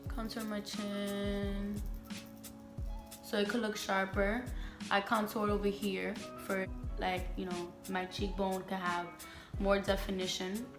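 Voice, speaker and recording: A woman's voice: medium pitch, level tone, slow pace, echoey room, music in the background. A young woman from the Bronx, chatting frankly and emphatically with her viewers while doing her makeup, full of slang. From the Bronx, New York. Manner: candid and emphatic, heavy slang, talks to viewers as "sis" and "girl". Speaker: beauty vlogger. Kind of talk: casual get-ready-with-me chat.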